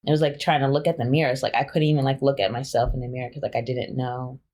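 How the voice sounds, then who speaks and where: A woman's voice: extremely low pitch, flat delivery, fast talker. Hesitant young woman, filler-heavy speech. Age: 20s. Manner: soft-spoken, many fillers, hesitant. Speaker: guest. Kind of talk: candid personal interview.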